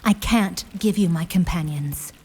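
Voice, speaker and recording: Guarded, husky young heroine. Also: female voice, on the low side, unhurried, darkish tone. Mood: guarded pleading. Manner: careful, courteous, formal. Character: guarded, polite young woman, war hero under duress. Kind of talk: fantasy audio drama acting.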